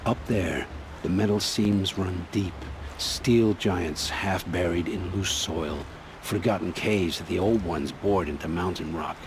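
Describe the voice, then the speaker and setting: An actor playing a gruff, evasive ruin scavenger and scout in a video game, brusque and defensive. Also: male voice, sits low, unhurried. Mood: guarded. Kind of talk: acted video game dialogue. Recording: clearly noisy, ambient background.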